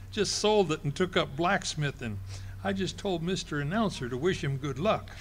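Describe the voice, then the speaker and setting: Brief: male actor as a flustered boastful husband, folksy stammer, high and animated. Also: normal speed, slight background noise, lightly acted. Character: bumbling boastful husband, middle-aged, fibbing. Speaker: amateur radio play actor. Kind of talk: live stage radio play reading. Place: ballroom stage before an audience.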